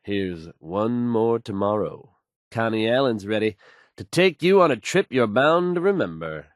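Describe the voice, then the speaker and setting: Game radio announcer, confident, polished, fairly low pitch, assured delivery, dry close recording. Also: male voice, very animated, measured pace, no room sound. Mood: confident, easygoing. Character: confident, smooth male radio DJ and newsreader. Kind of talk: radio song introductions and news reading.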